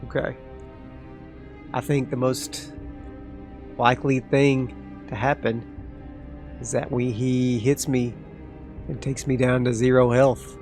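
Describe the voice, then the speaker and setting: Gravelly man narrating a solo game. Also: mid-range voice, slow pace, long pauses, soft background music. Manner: talks through dice rolls, excitable asides. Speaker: solo tabletop game player. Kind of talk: solo actual play, thinking aloud.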